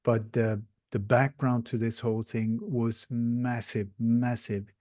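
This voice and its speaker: A man in his sixties, born in Denmark and raised in Sweden, speaking English in a podcast interview, explaining things earnestly and steadily with frequent pauses. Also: medium pitch, level tone, mellow, dry recording, clean studio sound. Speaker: interview guest, researcher.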